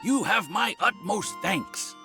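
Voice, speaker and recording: An actor playing an obliging, eager, formally polite gentleman landlord in a video game, with music behind him. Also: sits high, slow. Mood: grateful.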